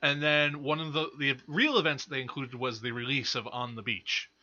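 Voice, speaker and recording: Very bright, forceful man in casual conversation. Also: medium pitch, moderate pace, lo-fi sound. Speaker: podcast co-host. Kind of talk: casual movie and game chat.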